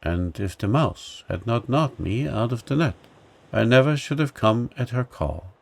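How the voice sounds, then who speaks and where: A man voicing a noble, gentle lion in a storybook reading, speaking pleasantly and kindly. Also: medium pitch, expressive, a little slow, gravelly. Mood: wise.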